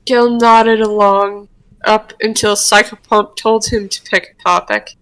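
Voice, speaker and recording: A woman's voice: mid-range voice, flat delivery, a little slow, fairly bright tone, smooth, poor audio. A woman reading scripted roleplay narration aloud in an informal way.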